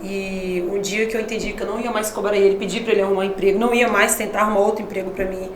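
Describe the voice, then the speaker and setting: A woman's voice: mid-range voice, rapid-fire, a little hiss or hum. A woman from Brasília, a missionary guest, telling stories in casual conversation with frequent laughs. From Brasília, Brazil. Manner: lively storytelling, laughs often. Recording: echoey room.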